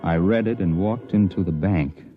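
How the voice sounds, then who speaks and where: An actor playing a wary investigator in a 1950s radio drama, in a hard-boiled, uneasy manner. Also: male voice, deep voice, normal speed, lightly acted. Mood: tense narration. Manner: hard-boiled storytelling, uneasy protests when speaking. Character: wary, rattled male investigator narrating his own ordeal. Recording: muffled 1950s radio recording with music.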